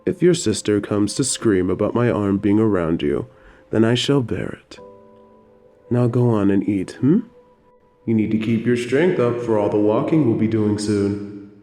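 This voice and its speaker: A man playing a gentle, apologetic old-time leather tanner in an audio drama, tender and soothing with old-fashioned phrasing. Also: fairly low pitch, lively intonation, a little slow, soft background music. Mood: soothing, affectionate. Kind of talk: romantic audio drama acting.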